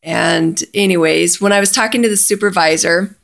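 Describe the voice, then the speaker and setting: A woman's voice: fairly low pitch, moderate pace, vocal fry, a bit bright. A woman casually telling a personal story in a chatty way, often quoting both sides of a conversation.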